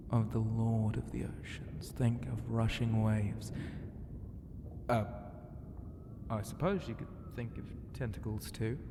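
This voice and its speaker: A man playing a flirty, self-assured ancient ocean god in an ASMR roleplay, teasing and grandiose, with a breathy voice that often drops into a whisper. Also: mid-range voice. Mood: gentle, inviting. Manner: slow, teasing and grandiose, with hesitant hums and murmurs. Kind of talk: ASMR roleplay, intimate half-whispered delivery. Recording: long reverb, clear background ambient noise.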